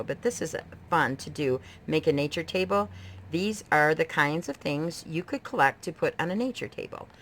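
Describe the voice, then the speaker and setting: A woman's voice: deep voice, fairly bright tone. A woman giving a casual show-and-tell book review, talking in an enthusiastic, explanatory way like a homeschooling mother. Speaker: homeschooling mother presenting books. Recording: noisy home video recording.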